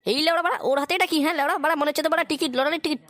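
Man putting on a very high-pitched, whiny loafer son. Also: steady tone, rapid-fire, bright, forceful tone, dry recording. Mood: scheming, excited. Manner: whining, foul-mouthed, grumbling. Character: idle, wheedling grown son, village loafer. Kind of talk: crude adult comedy cartoon dubbing.

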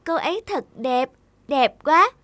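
An actor voicing a young, naive, lovestruck teacup in an animated children's tale, with music underneath. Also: high-pitched, unhurried, no echo. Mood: dreamy longing.

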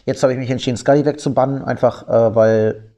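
A man with a cold gives gameplay commentary, calmly explaining each move in detail with frequent fillers. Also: medium pitch, brisk. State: has a cold.

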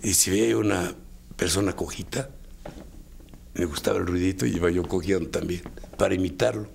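A man's voice: deep voice, brisk, a little mellow. An older man with a Mexican accent, a sound effects artist reminiscing casually and colloquially in an interview. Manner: colloquial, fond of diminutives. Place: dim indoor recording studio. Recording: clear background noise.

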